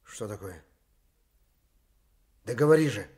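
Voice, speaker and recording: A man's voice: fairly low pitch, expressive, slow, darkish tone. An actor playing a bitter, evasive and calculating war-veteran father in a radio play. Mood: uneasy. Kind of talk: Soviet radio play acting.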